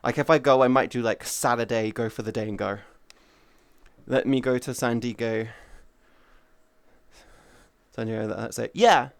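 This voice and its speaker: A man's voice: mid-range voice, fairly even intonation, moderate pace, vocal fry, pauses often, clear, resonant tone, dry recording. A man in his thirties streaming, rambling in casual chat with his viewers, swearing freely and greeting chatters by name. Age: 30s.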